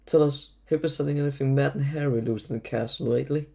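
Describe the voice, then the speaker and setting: Man acting a mellow-voiced, sardonic teenage schoolboy. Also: medium pitch, steady tone, quick pace, gravelly, lightly acted. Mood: sarcastic. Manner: sarcastic, blunt. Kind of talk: fan audio drama acting.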